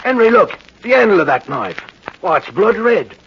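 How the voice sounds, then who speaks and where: An actor playing a chummy, stubborn air raid warden with a British accent in an old-time radio horror drama. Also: male voice, high voice, big pitch swings, normal speed, creaky, exaggerated voice. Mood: awed. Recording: muffled, noisy old radio recording.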